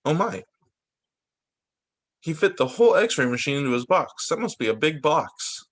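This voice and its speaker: Animated man reading a storybook aloud. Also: a little high, normal speed. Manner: expressive, with informal asides. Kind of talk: animated read-aloud for young children.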